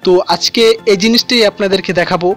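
Man, high voice, instructional tutorial narration, music in the background. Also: fast, a bit bright. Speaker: tech tutorial host. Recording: voice-over with music behind.

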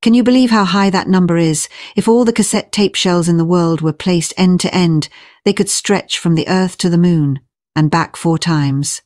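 Woman doing scripted explainer narration, lowish voice, pretty dry recording no room echo. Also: moderate pace, a little mellow.

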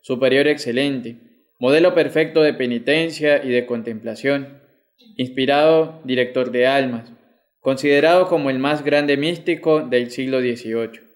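A young man reading a prepared text aloud at Mass in a formal, measured manner, at a lectern microphone. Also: mid-range voice. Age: young adult. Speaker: liturgical reader at Mass. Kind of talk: scripted reading. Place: small indoor chapel. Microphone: microphone on lectern stand.

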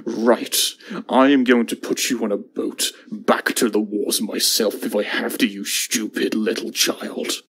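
A man's voice: fairly low pitch, steady tone, a little slow, noisy background, natural voice. A man voicing a stern, fed-up steam engine in a game, scolding and threatening younger engines.

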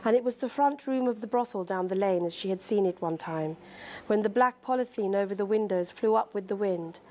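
A middle-aged woman with a London British accent, an author speaking in a measured, composed way as a guest. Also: medium pitch, a little mellow, slight background noise. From born in Sri Lanka, grew up in London. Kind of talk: reading aloud from a novel, then interview talk. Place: indoor room, seated.

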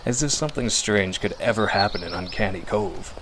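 A man's voice: lowish voice, fairly quick, background noise. A man narrating a scripted horror story in a dramatic, suspenseful radio drama manner. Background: music.

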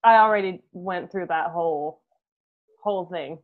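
A young Canadian woman chatting casually as an interviewed musician, halting and peppering her talk with "like", on a video call. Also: on the low side. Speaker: band musician being interviewed. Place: room at home. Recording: dull, lo-fi video call audio.

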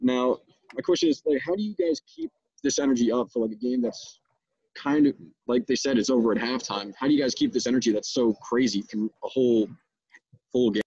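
A young male reporter with a husky voice, casually asking a question over muffled video-call audio. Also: medium pitch, a little fast, darkish tone, no echo. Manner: casual phrasing. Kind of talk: press conference question. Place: indoor arena stands.

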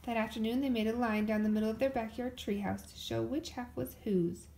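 A woman reading a children's picture book aloud as an expressive storyteller. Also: on the high side, brisk, creaky. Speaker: storybook reader.

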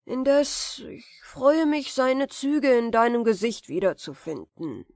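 A woman puts on a storybook sorcerer pretending to be a loving uncle. He is unctuous and sentimental, stretching his words out slowly. The sound is close and dry. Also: on the high side, expressive, creaky, darkish tone, no echo, voice pushed up, exaggerated voice. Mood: feigned tender sorrow. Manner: ingratiating, drawn-out sentimental delivery. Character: scheming male sorcerer posing as a tender, generous uncle.

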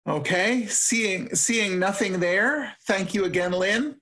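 An older man moderating an online forum from home on a video call, measured and with dry self-deprecating asides. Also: sits high, pushed and bright. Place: room at home. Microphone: webcam on a video call.

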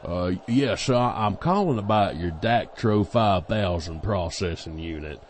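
A man's voice: deep voice, expressive, a little slow, slight background noise. A man acting a hapless, bumbling radio station worker in an audio comedy, hesitant and stammering.

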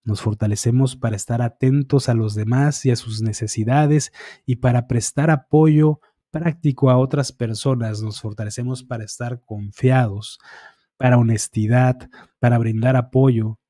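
A middle-aged man with a mellow, warm tone recites affirmations one after another in a calm, measured cadence. He sits close to a studio microphone in a small dark room, and the sound is dry and intimate. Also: mid-range voice, no echo. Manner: eyes often closed, fingertip to temple, concentrating. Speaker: energy healing practitioner. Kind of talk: guided affirmation session. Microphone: studio microphone on boom arm, close.

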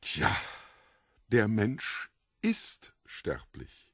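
A man's voice: fairly low pitch, huge pitch swings, drawn-out words, a bit bright, slightly rough, no room sound, clean studio sound, exaggerated voice. A man voicing a mysterious, mocking foreign devil in an audiobook, self-assured and theatrically drawn out. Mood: sardonic certainty. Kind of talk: audiobook character dialogue.

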